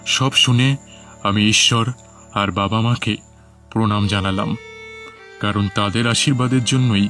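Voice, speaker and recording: A man's voice: fairly low pitch, steady tone, a little slow, pushed and bright. A man narrating a scripted first-person horror story in a dramatic, suspenseful manner. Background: music under the voice.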